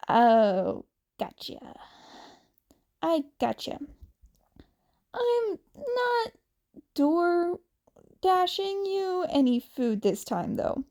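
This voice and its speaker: A woman's voice: extremely high pitch, very animated, drawn-out words, long pauses, darkish tone, voice pushed up, heavily acted. A woman voicing a breezy, casual young male winged superhero in a story, with slangy, teasing talk.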